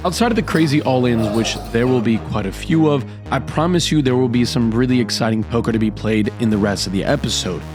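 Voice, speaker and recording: A man narrates poker hands over clearly audible background music, his mid-pitched voice a little hoarse from a recent cold. He talks with hyped, dramatic play-by-play energy. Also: quick pace, hardly any pauses. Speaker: poker vlogger.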